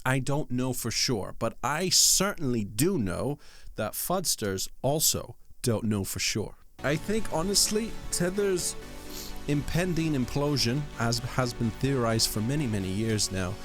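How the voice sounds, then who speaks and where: A man from London with a mixed English, Irish and American accent, presenting scripted vlog commentary with rhetorical questions and deliberate pauses. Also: mid-range voice, lively intonation, faint music behind. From London, England. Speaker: host of a crypto video channel.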